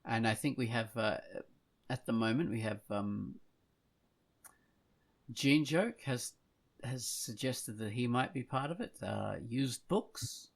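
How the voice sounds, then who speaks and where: Lively man with a creaky voice. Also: medium pitch, slow, long pauses. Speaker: podcast host. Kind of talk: casual chat.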